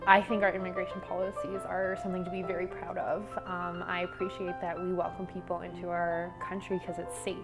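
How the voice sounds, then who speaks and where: A woman's voice: fairly low pitch, completely flat delivery, brisk, few pauses, over loud music. A woman from Canada giving earnest, plain-spoken interview answers.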